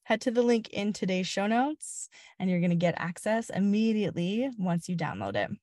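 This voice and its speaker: A woman's voice: mid-range voice, animated, normal speed, a little mellow, dry recording, studio quality. A woman podcast host and fitness coach speaking casually and directly to listeners in a friendly way. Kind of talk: casual solo podcast talk.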